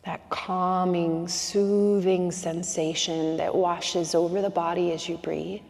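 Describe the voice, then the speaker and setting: A woman guiding a slow breathing meditation in calm, soothing instructions with long pauses. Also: on the low side, vocal fry, long reverb tail.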